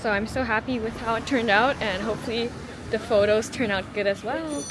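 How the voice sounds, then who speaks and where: A woman's voice: a little high, brisk, very noisy. An eighteen-year-old woman chatting casually to a handheld camera, gushing informally and repeating words for emphasis. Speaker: vlogger. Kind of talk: casual vlog chat to camera. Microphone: handheld camera at arm's length. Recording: loud music behind the voice. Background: music.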